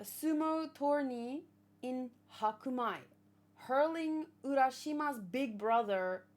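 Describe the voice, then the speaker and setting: A woman hosting a casual manga read-along, chatting in a rambling way with lots of fillers and restarts. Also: medium pitch. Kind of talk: casual chat and reading aloud.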